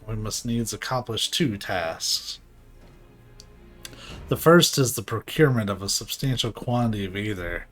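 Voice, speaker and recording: A man reading game dialogue aloud for a formal, archaic-speaking scholar ally, in a plain, fairly flat way. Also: lowish voice.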